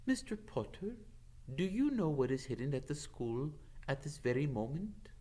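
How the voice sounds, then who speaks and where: A noble centaur, acted out by a man reading a storybook, pitched well up high with sweeping intonation. The speech is formal and measured, grave and earnest. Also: very animated, warm tone. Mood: grave, solemn. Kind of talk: dramatic audiobook character reading.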